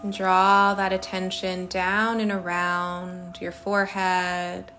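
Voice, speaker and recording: Female meditation guide; soothing, unhurried delivery at a very slow pace with long gaps, over faint music. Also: fairly low pitch, little pitch movement, sharp tone. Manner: soothing, short phrases with long pauses. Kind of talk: guided meditation, body scan. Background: singing bowls.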